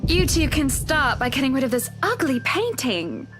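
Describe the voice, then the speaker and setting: A woman's voice: high-pitched, sing-song, moderate pace. A woman playing a wealthy, breezy, imperious socialite wife in a visual novel game, with a polished, airy delivery. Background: background music.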